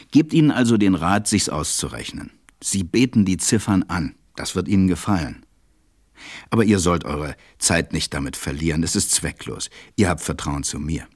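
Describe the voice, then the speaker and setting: A man playing a reflective storyteller who confides in children, narrating a radio play in a measured way. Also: low voice, darkish tone, no room sound, studio quality.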